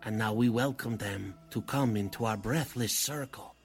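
An actor playing a pompous, oily cult leader who poses as a spirit medium, speaking in grand theatrical incantations in a radio drama style. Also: male voice, on the low side, normal speed, gravelly, breathy edge, faint music behind. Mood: grandly incanting. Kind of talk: 1940s radio drama acting.

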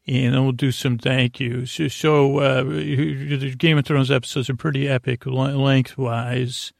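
A man with a creaky voice hosting a bedtime podcast, talking in a meandering, halting, digressive monologue meant to lull listeners to sleep. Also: mid-range voice, level tone, dry recording, clean studio sound. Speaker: podcast host.

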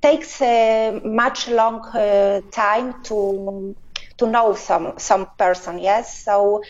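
A middle-aged woman answering interview questions over a phone line, speaking haltingly with many pauses and filler sounds. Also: mid-range voice, fairly even intonation, a little mellow. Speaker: interview guest, business owner. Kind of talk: hesitant conversational answers.

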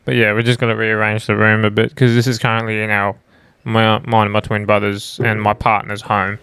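Smooth-voiced, laid-back young Australian man. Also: lowish voice, completely flat delivery, a little fast, fairly bright tone, no echo. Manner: laid-back, sweary, slangy. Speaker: podcast co-host. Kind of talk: casual chat. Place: smallish room in a home.

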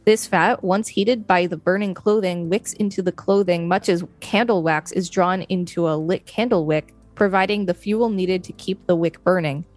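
A woman's voice: lowish voice, steady tone, normal speed, few pauses, a little mellow, soft background music. A woman co-hosting a podcast, reading an article aloud and adding casual asides. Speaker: podcast co-host.

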